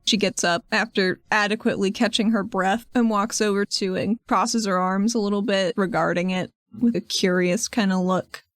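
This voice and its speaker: Female tabletop player, casual improvised roleplay, average pitch. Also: fairly even intonation, moderate pace, few pauses, no echo, clean studio sound.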